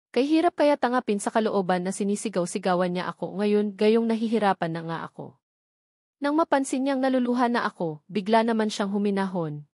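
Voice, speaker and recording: A woman's voice: mid-range voice, darkish tone, no room sound. Woman narrating a romance novel, dry sound. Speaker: story narrator. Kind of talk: scripted first-person romance novel narration.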